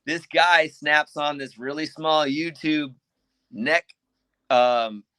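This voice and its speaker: Man, guest on a podcast, bright voice, dry close sound, casual, swears a lot. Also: fairly high pitch, takes it slowly, smooth, dry recording. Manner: animated, swears freely, says "dude" and "like". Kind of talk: casual storytelling.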